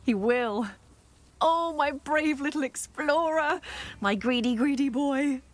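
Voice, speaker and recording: A woman's voice: sits high, slow pace. A woman playing a doting, fretful pet owner in a video game, with a gushing, sentimental manner. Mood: doting, grateful. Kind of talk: video game character dialogue.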